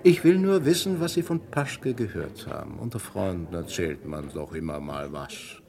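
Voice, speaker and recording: An actor playing a shrewd, persistent police inspector in a vintage radio crime drama, blunt and probing. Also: male voice, deep voice, big pitch swings, normal speed, gravelly, over music, exaggerated voice. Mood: stern, pressing. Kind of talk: German radio crime play acting, 1950s to 80s.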